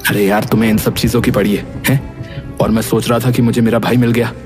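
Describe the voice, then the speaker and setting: A man's voice: lowish voice, little pitch movement, brisk, darkish tone, close to an everyday voice. A man voicing a wounded, indignant young long-lost twin in an emotional story drama with music behind. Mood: bitter.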